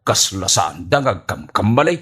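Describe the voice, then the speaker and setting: A man's voice: deep voice, sing-song, normal speed, fairly bright tone, husky, exaggerated voice. A man with an Ilocano accent playing a boastful, childish, stubborn husband in a radio comedy drama, with cocky, exaggerated delivery. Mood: resentful, mocking. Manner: cocky, exaggerated, swooping delivery with mocking laughs.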